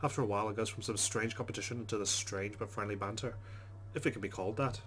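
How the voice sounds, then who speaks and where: A man's voice: lowish voice, fast talker, a bit bright, a little hiss or hum. A man hosting a let's play, reading visual novel text aloud and adding informal, chatty commentary.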